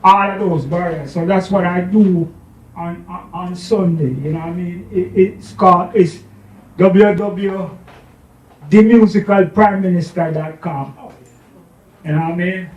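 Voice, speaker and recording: A man with a Jamaican accent casually introducing himself to an audience, halting and repeating words as he goes. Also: high-pitched, steady tone, a little mellow. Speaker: DJ and internet radio show host. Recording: dull, echoey, distant room microphone.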